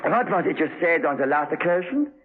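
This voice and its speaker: Smug husky radio villain. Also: male voice, a little high, lively intonation. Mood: taunting. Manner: mannered, measured, taunting politeness. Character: smug, cultured imprisoned male villain savoring threats. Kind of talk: 1970s radio drama acting. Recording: muffled husky 1970s radio recording.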